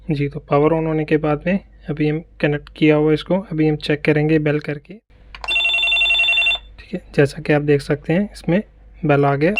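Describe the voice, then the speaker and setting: A man's voice: a little high, a little hiss or hum. A man narrating an unboxing and setup tutorial, explaining each part step by step and often checking in with the viewer. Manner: explains step by step, keeps repeating "ठीक है". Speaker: tech reviewer.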